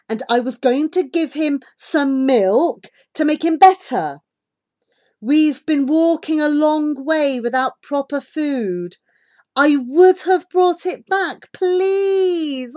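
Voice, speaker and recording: A woman acts out a young child traveller from an audiobook adventure. The child is desperate and earnest, pleading urgently. The voice sits higher and pushes hard on the words.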